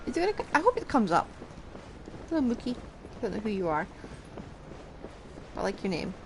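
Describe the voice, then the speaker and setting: A woman's voice: mid-range voice, huge pitch swings, brisk. A woman streaming a game with casual, musing, somewhat hesitant commentary. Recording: clear background noise and game sound.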